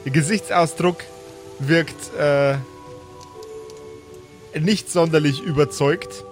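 A man narrating an improvised tabletop role-play as game master, with deliberate, theatrical description. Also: fairly high pitch. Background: faint music.

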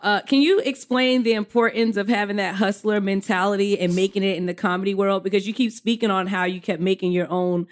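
A woman's voice: medium pitch, fairly even intonation, a little fast, hardly any pauses. A woman asking interview questions as a podcast co-host.

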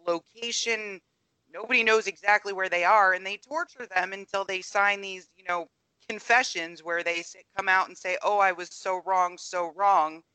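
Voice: mid-range voice, pushed and bright, smooth, no echo, rough recording. A podcast co-host in casual political chat, speaking bluntly and emphatically. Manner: blunt, emphatic lists of claims.

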